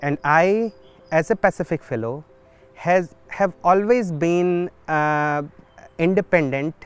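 Slow, measured man. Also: on the high side, clear, resonant tone, soft background music. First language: Hindi. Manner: thoughtful, measured, with frequent pauses and fillers. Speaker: solar physics researcher. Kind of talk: documentary interview. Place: outdoors in an institute garden.

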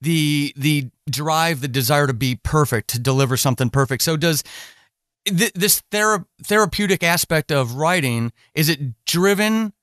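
A man with a slightly high voice, recorded close and dry. He hosts a casual podcast interview and speaks haltingly, reworking his questions as he talks. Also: animated, fairly bright tone, no echo. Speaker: podcast host interviewing a writer.

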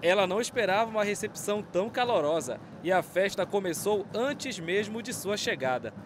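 A man narrating a local news report in a steady, even delivery. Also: sits high, a little fast, noisy background.

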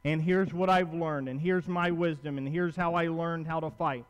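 High-pitched man preaching in a reverberant hall. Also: fairly even intonation, normal speed, smooth, lo-fi sound. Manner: conversational and emphatic, with rhetorical questions and repetition. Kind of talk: sermon. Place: large church auditorium, at pulpit on stage. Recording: long hall reverb from stage.

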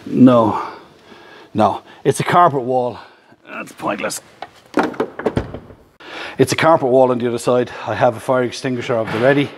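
A chatty Irish man in his fifties talks straight to a camera held at arm's length, upbeat and explaining as he goes. His voice sits at an ordinary middle pitch, and the sound is close and casual, recorded in a workshop and inside a van. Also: normal speed, pauses often, noisy background. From Ireland. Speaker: vlogger host. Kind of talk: casual vlog narration. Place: workshop yard and inside a van under build.

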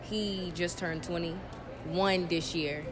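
Relaxed woman in her early twenties. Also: fairly low pitch, very slow, a crowd in the background. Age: early 20s. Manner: relaxed, talkative, explains at length. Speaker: interviewee. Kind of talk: casual chat. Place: indoor shopping mall.

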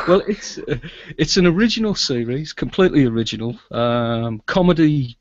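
A man's voice: fairly high pitch, lo-fi sound, a little hiss or hum. A middle-aged man chatting casually as an interview guest, in a chatty manner, over a video call. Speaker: guest, audio drama producer and sound engineer. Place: small indoor room with shelves, video call.

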